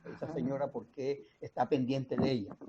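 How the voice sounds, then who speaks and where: A man with a Colombian Caribbean accent explaining things in a didactic, deliberate way with pauses, as in an interview. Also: medium pitch, fairly even intonation, very noisy. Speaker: radio drama writer and mentor. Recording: dull, muffled lo-fi sound.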